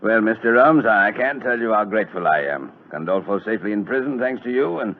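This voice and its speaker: A working-class police sergeant in a vintage radio play, with a low, heavy voice. He speaks humbly and haltingly, full of deference. The recording is narrow and faintly noisy. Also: animated. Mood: grateful, humble. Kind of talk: 1940s radio drama acting.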